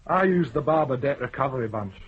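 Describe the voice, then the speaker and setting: A man's voice: mid-range voice, expressive, quick pace, breathy edge. A man playing a wary, evasive moneylender, curt and defensive, in a radio crime drama. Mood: guarded. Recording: dull, noisy old radio broadcast recording.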